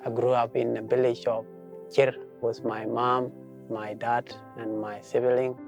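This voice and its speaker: A man's voice: mid-range voice, little pitch movement, a little slow, gravelly. Earnest South Sudanese man, faint music. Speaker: interviewee telling his life story. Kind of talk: documentary interview.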